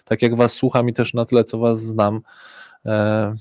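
A man's voice: on the low side, monotone, measured pace, a little mellow, no room sound, studio quality. A man co-hosting a podcast with conversational commentary, speaking hesitantly and often repeating words.

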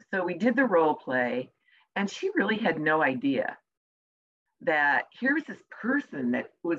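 A woman with a soft voice, an executive communication coach answering interview questions in a measured way with deliberate pauses. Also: low voice, highs cut off, slight background noise.

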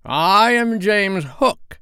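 A man voices a bold, cheeky boy from a storybook, mocking a pirate captain. The pitch is raised sharply and swoops about, every phrase set out with relish. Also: lively intonation, drawn-out words, creaky, pushed and bright, clear, resonant tone, no room sound, clean studio sound, full character voice.

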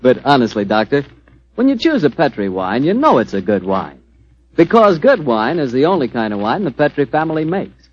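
An American man working as a 1940s radio announcer, delivering scripted chat and a friendly sales pitch. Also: big pitch swings, normal speed. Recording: dull lo-fi vintage radio recording.

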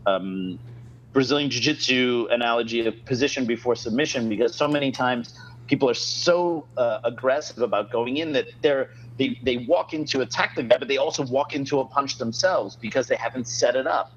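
A man's voice: mid-range voice, fairly quick, lo-fi sound, slight background noise. A man hosting a casual podcast, a martial arts instructor, ranting frankly with many fillers.

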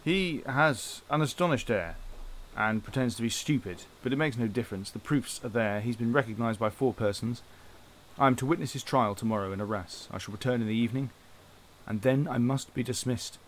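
A man's voice: mid-range voice, fairly quick, a little hiss or hum, close to an everyday voice, poor audio. An actor playing a stern, rigid male police inspector with formal, severe speech. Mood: grave. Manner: formal, severe, self-condemning declamation. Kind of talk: radio drama acting.

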